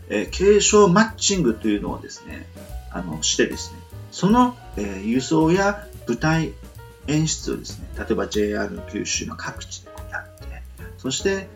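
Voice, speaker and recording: Male radio host, gravelly creak, expressive intonation, casual monologue, polite, hesitant and meandering, light guitar backing. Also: medium pitch. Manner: hesitant, meandering, thinking aloud; polite, explanatory.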